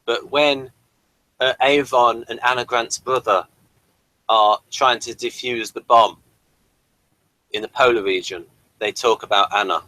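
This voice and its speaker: A man's voice: medium pitch, measured pace, long pauses, sharp tone, no echo, rough recording. A man hosting a casual review discussion, speaking in a hesitant, rambling and apologetic way. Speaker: host of a TV review show.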